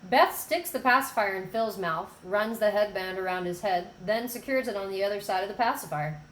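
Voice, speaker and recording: A woman's voice: mid-range voice, a little fast, fairly bright tone. Woman narrating screenplay in echoey room. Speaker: narrator reading stage directions. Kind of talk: scripted narration, screenplay table read. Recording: echoey room, background noise.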